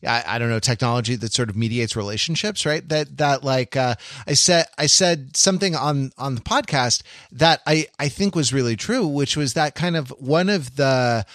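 Male podcast co-host, medium pitch, thoughtful and halting, very dry sound. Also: fairly bright tone, dry recording. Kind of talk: casual analytical discussion.

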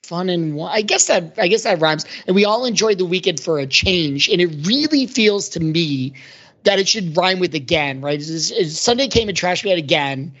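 Woman podcaster w lowish voice, casual n hedgy, says like a lot, bit dull recording. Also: lively intonation, normal speed, fairly bright tone. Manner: hedging, conversational, frequent 'like' and 'sort of'. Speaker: podcast panelist. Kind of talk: casual discussion, critiquing song lyrics.

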